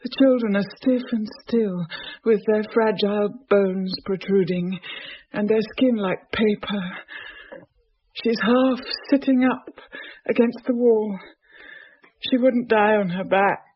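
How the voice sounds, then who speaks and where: A woman's voice: medium pitch, slow pace. An actress playing a possessed woman who speaks for a starving, embittered dead mother, declaiming in anguish in a horror radio drama. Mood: eerie, entranced. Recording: dull, lo-fi old radio recording.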